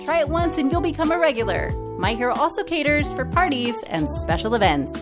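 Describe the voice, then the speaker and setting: A woman's voice: high voice, sing-song, a little fast. A woman reading a radio advertisement in an upbeat promotional tone. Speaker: advertisement announcer. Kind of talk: radio ad read. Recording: loud music bed under voice.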